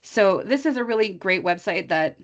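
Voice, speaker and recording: A woman's voice: mid-range voice, lively intonation, normal speed, lo-fi sound. An American woman, an English teacher, giving an informal online training talk with a chatty, thinking-aloud manner and asides to her listeners. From USA. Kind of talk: conversational online presentation.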